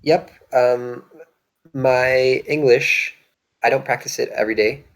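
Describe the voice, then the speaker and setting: A man, French and Hungarian by birth, chatting casually while streaming a strategy game, often pausing and searching for words. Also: mid-range voice, steady tone. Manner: hesitant, searching for words, many fillers. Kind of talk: casual gaming chat.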